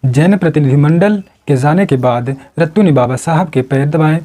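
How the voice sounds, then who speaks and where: Mellow, higher-pitched male story narrator. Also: moderate pace. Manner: acts out quoted dialogue within the narration. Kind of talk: scripted dramatic story narration.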